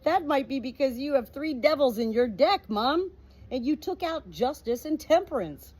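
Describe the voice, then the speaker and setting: A woman's voice: high voice, lively intonation, moderate pace, darkish tone, voice pushed up. A woman voicing an exasperated, blunt shepherdess defending herself in a storybook. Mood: dry, defensive. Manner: exasperated, hissing when alarmed.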